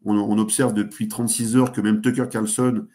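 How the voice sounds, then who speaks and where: A man with a lowish voice talks through political analysis as a studio guest. He hesitates constantly, circling back with fillers and restarts before landing his point. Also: little pitch movement, normal speed, mellow. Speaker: guest commentator. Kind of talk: geopolitical analysis in a talk show.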